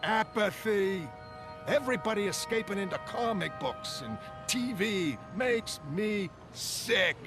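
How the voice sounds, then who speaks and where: An actor playing an agitated, opinionated city news vendor, ranting in a streetwise way in a comic-book drama. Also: male voice, high-pitched, expressive, takes it slowly. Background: music.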